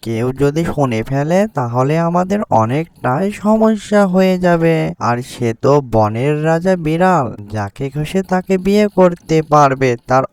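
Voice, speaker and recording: A man voicing a nervous, cautious deer in a cartoon, with slow, drawn-out calls. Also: on the high side, expressive, darkish tone, smooth. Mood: anxious, hushing. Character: nervous, cautious male deer hushing his friend. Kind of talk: cartoon dialogue. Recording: music behind the voice.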